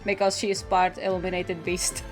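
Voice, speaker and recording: A woman's voice: fairly low pitch, flat delivery, normal speed, faint music behind. A young adult woman giving casual, musing reaction commentary as a gaming host.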